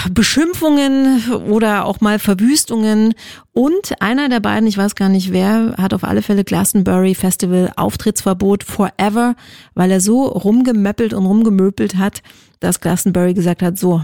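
A woman's voice: mid-range voice, darkish tone, no room sound, studio quality. A woman hosting a radio show in a casual, colloquial and chatty way. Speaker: radio host.

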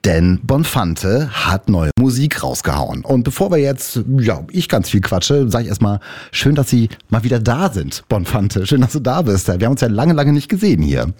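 A male music show host talks in a loose, chatty interview style. His mid-pitched voice swings widely and animatedly, with a playful streak running through the conversation. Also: very animated, normal speed, darkish tone. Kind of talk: casual interview chat.

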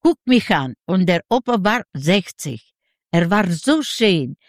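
A woman in her nineties from Ukraine with a Yiddish-Ukrainian accent, telling her story in an interview in halting, simple broken sentences and acting out quoted dialogue. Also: fairly low pitch, sing-song, no echo, studio quality. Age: 90s. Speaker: interview guest, Holocaust survivor giving testimony.

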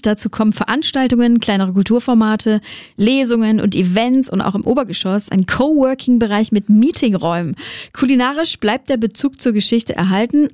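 A woman's voice: medium pitch, dark tone, dry recording. Chatty female radio presenter, dry studio sound. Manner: chatty, informal, playful asides. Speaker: radio host.

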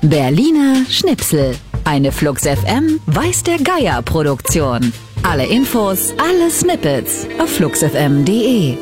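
A female announcer reads radio jingles in with hugely swooping intonation and a slow, deliberate pace. Music plays clearly behind her. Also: lowish voice, huge pitch swings. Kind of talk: produced radio imaging.

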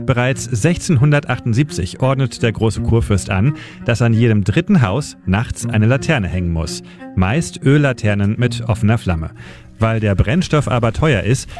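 A male radio editor reading a scripted history segment in a measured storytelling way. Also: mid-range voice, darkish tone. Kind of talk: scripted narration. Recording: narration over clear music. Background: music bed.

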